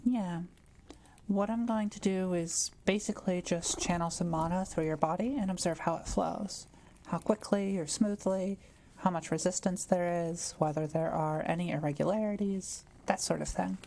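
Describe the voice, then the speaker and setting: A woman playing a kind, patient witch in an intimate audio roleplay, explaining things gently and carefully. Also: fairly low pitch, moderate pace, close to an everyday voice. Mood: patient, explanatory. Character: kind, quiet-living adult witch, patient and reassuring.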